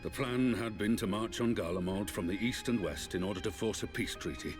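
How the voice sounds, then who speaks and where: An actor playing a resolute military leader in a fantasy game, speaking formally and with authority. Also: male voice, fairly low pitch, fairly quick, close to an everyday voice. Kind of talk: fantasy video game voice acting. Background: orchestral game music with strings.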